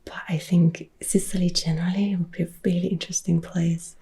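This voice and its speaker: Whispering Austrian woman, close and soft. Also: lowish voice, level tone, brisk, gravelly, breathy edge. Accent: Austrian German. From Upper Austria. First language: German. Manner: soft whispering, mixed with quiet speech. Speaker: ASMR channel host. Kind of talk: ASMR Q&A chat. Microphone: close-up sensitive ASMR mic.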